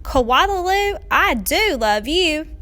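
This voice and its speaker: A woman voicing a gentle, loving mother koala in a storybook, with drawn-out affectionate sing-song delivery. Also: high voice, very animated, pitched above the performer's own voice, full character voice. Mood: tender, adoring.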